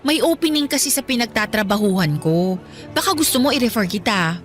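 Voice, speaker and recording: A woman's voice: fairly high pitch, expressive, fairly quick, soft background music, natural voice. A woman playing a caring, frank young best friend, friendly but chiding, in a radio drama. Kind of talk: Filipino radio drama dialogue.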